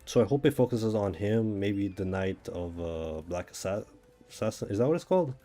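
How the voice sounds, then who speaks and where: A man's voice: fairly low pitch, expressive, moderate pace, warm tone, soft background music. A man from Brazil with a Brazilian accent, chatting casually and thinking aloud in a rambling way as he streams a game. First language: Portuguese. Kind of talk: casual chat while gaming.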